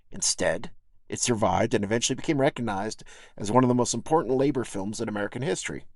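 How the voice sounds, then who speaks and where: A man with a slightly low-pitched voice narrates a first-person essay for a podcast. He tells it in a reflective, folksy manner. The sound is dry, with very little room in it. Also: dry recording. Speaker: podcast host and essayist reading own essay.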